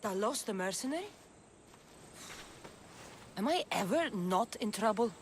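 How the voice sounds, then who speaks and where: A woman's voice: mid-range voice, lively intonation, unhurried, slight background noise. An actress playing a tough young woman mercenary who speaks bluntly and with dry humor. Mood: wry.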